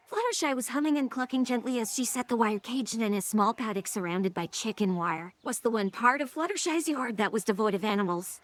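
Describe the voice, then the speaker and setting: A synthetic-sounding woman's voice reading scripted story narration. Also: fairly high pitch.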